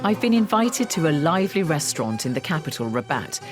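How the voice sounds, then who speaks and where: A woman presenting a documentary, narrating in a measured way and asking interview questions. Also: low voice, very animated, over loud music.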